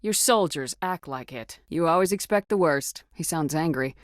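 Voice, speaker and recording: A woman playing a tough, steady female military officer in a video game, speaking with firm, clipped authority. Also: low-pitched, moderate pace, dry recording, studio quality. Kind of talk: video game dialogue acting.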